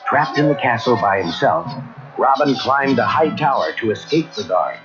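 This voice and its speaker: Animated male storybook narrator. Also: medium pitch, normal speed. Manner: dramatic, expressive storytelling. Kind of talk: scripted children's read-along narration. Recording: muffled old cassette, music behind.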